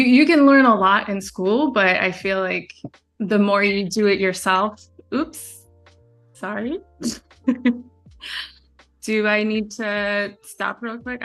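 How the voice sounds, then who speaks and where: A woman's voice: mid-range voice, a bit bright. A woman, a documentary filmmaker answering interview questions in a chatty way, often restarting sentences. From France. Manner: chatty, many fillers and restarts, laughs easily.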